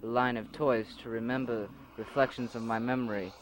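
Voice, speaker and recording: A man narrating his own amateur audio drama in a soft, reflective storytelling voice. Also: medium pitch, steady tone, moderate pace, noisy background. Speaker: narrator and creator.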